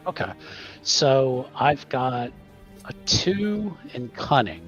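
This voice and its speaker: A man's voice: mid-range voice, sing-song, slow pace, muffled recording, soft background music. A man chatting casually as a player at a tabletop game, tentative and thinking aloud.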